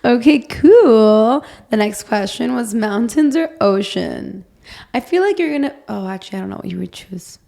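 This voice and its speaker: Young woman, creaky voice, chatty podcast co-host. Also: a little high, animated, moderate pace, mellow. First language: Portuguese. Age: young adult. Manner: informal, playful, frequent "like". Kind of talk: casual podcast chat.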